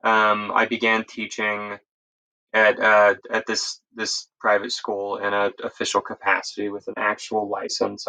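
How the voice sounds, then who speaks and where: Man, American accent, forceful bright tone, slightly low pitch, casual storytelling, self-correcting. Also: rough recording. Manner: rambling, self-correcting, informal. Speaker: interview guest, EMS instructor.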